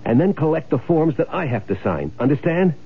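An actor playing a scheming, greedy, domineering husband in an old-time radio drama, speaking impatiently. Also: male voice, fairly high pitch, big pitch swings, a little fast, a little hiss or hum. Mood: commanding, scheming. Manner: domineering, impatient, barking orders. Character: scheming, bossy, greedy husband running an insurance swindle. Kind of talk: old-time radio drama acting, first-person noir narration. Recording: muffled old radio broadcast, music behind.

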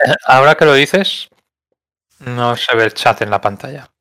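Bright, sharp-voiced Spanish man relaying chat. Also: medium pitch, normal speed. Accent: Spanish from Spain. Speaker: co-host relaying chat messages. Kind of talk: casual chat.

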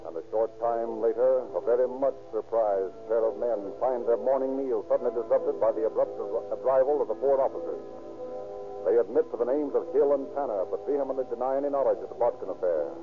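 A man giving formal, measured, portentous scripted narration in the manner of 1930s radio drama. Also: medium pitch. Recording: tinny old radio recording with music.

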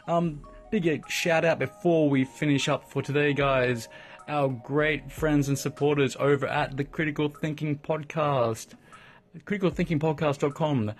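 A man, hosting a casual solo podcast in a chatty, upbeat way with lots of shout-outs and asides. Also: fairly high pitch. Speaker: podcast host.

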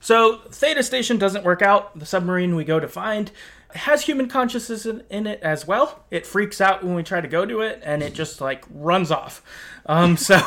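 A man with a voice a little higher than most hosts a casual discussion podcast. He tells things in an informal, conversational way, like someone recapping a game for friends. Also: expressive, normal speed, fairly bright tone. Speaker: podcast host.

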